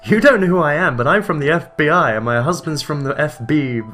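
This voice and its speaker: A man voicing a frantic young woman agent, high and urgent. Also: expressive, fairly quick, fairly bright tone, soft background music.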